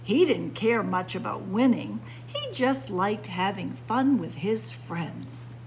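A woman's voice: mid-range voice, very animated, takes it slowly, slight background noise, poor audio. A woman reading a children's picture book aloud like a story-time librarian, expressively, with dramatic emphasis on key words.

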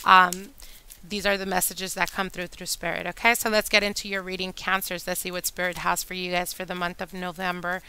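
A woman reading tarot cards for her channel, chatty and addressing viewers directly, her voice a little hoarse from a long day. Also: lowish voice, little pitch movement, brisk, low-quality recording. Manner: chatty, warm address to viewers, whispers during shuffling. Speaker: tarot reader. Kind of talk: casual tarot reading monologue. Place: indoor table with candles and decor.

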